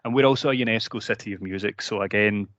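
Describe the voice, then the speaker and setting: A man with a Glaswegian accent presents his university over a video call, stopping often for ums and restarting his phrases. His voice sits fairly low, and the sound is dry and a bit dull. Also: quick pace, fairly bright tone, no echo. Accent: Glaswegian Scottish. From Scotland. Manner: frequent ums and word repeats, conversational. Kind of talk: online presentation to counsellors.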